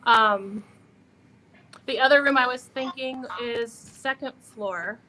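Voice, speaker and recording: A woman's voice: on the high side, slow, pushed and bright, poor audio. A woman investigating a haunted manor, steadily reading out what her device says and giving instructions to her team. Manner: reads out device words matter-of-factly. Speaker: paranormal investigator. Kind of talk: live ghost-hunt commentary.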